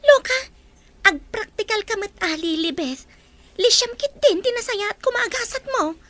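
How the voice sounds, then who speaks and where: Feisty best friend for radio drama, played by an actress: sassy young woman, extremely high pitch, animated, brash. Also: normal speed. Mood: brash, scolding. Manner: brash, teasing. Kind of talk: Ilocano radio drama acting.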